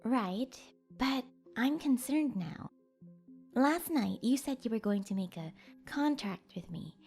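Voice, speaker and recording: Woman acting as kind awkward young woman, swooping intonation, unhurried, expressive, hesitant, audio drama. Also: on the high side, slightly rough, soft background music, full character voice. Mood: concerned.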